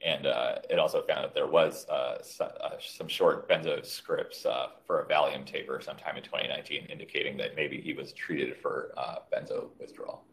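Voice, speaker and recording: A middle-aged man, a physician, presenting a clinical case on a video call in a measured, slightly hesitant way. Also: very deep voice, steady tone, vocal fry. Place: indoor room on a video call.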